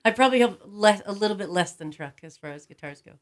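A woman's voice: medium pitch, animated, quick pace. A woman music teacher, a guest talking casually and enthusiastically about her instruments. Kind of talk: casual interview talk.

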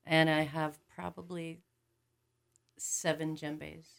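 A woman's voice: sits low, flat delivery, slow, creaky. A woman music teacher, a guest talking casually and enthusiastically about her instruments. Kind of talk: casual interview talk.

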